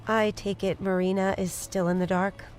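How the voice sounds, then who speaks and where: Actress playing candid woman, secret lover, dry understated wit, mid-range voice, soft music behind, audio drama. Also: mid-range voice, flat delivery, normal speed, natural voice. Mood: matter-of-fact. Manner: wry, understated, direct. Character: wry, candid woman, patient but wounded secret lover. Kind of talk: naturalistic audio drama acting.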